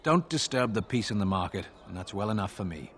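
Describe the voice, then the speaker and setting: A man's voice: fairly low pitch, expressive, fairly quick, a bit bright, slight background noise. A man playing a weary, sardonic guard sergeant in a fantasy game, wryly exasperated. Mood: wry, resigned. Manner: dry, wry, exasperated. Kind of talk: fantasy video game dialogue acting.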